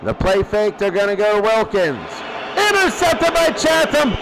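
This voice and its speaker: A man's voice: high-pitched, big pitch swings, normal speed, low-quality recording. A man with an American accent calling football play by play, exclaiming on big plays. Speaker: play-by-play commentator. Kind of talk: live American football commentary.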